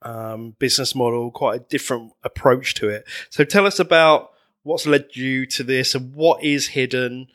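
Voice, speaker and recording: A man's voice: medium pitch, normal speed, a bit bright, no room sound. A man hosting a podcast who reads a scripted intro and then asks his guests questions in a conversational way. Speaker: podcast host. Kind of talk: podcast interview.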